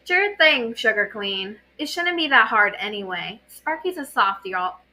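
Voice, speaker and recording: A woman's voice: a little high, lively intonation, measured pace, bright, forceful tone, heavily acted. A woman voicing a tough, cocky young girl with a casual, teasing way of talking in an amateur story reading.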